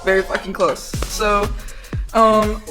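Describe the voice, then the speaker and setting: A woman's voice: mid-range voice, flat delivery, slow pace, over music. A woman co-hosting a casual interview, slangy and jokey, swearing lightly. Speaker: co-host interviewer.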